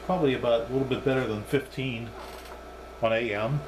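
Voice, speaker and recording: A man's voice: medium pitch, fairly even intonation, a little fast, background noise. A man giving a casual, unscripted hands-on demonstration as a hobbyist reviewer, thinking aloud as he goes.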